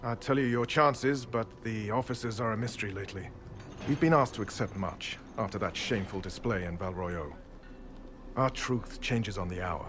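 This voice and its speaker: An actor playing a dutiful, frustrated knight in a video game, speaking formally and earnestly. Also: male voice, lowish voice, normal speed, noisy background, natural voice. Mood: grave. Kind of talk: video game dialogue acting.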